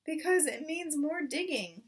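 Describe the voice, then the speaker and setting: Woman playing careful father fox, fairly high. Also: lively intonation, distant mic. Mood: weary. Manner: careful, measured. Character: thoughtful, weary father fox, caring and careful.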